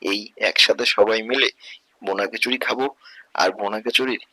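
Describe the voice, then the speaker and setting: A caring, poor village father in a cartoon tale, played by a man. He has a forceful, bright voice that is a little low, with an earnest manner. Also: fairly even intonation, normal speed, dry recording. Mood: caring, reassuring. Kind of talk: Bengali cartoon story dubbing.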